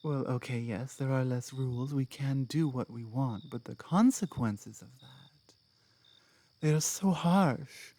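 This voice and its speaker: Woman acting a slow, low-voiced orc woman. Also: dark tone. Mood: earnest, bitter. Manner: deliberate, halting phrases with weighty pauses. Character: strong, proud, earnest female orc companion, guarded about her past. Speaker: audio roleplay performer. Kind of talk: ASMR dramatic roleplay, intimate monologue to listener.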